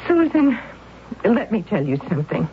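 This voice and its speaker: An actress playing Aunt Tim, an anxious, God-fearing aunt who pleads and frets over a risky plan. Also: female voice, mid-range voice, sing-song, normal speed, close to an everyday voice. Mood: pleading. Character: fretful, pious older aunt, anxious and doubtful. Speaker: supporting character. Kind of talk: 1970s radio drama acting. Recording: muffled old radio broadcast with hiss.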